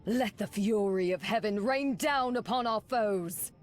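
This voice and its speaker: A woman's voice: mid-range voice, measured pace, soft background music, close to an everyday voice. A woman voicing a noble warrior angel in a video game, speaking in a grand, formal, solemn style. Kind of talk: video game character voice acting.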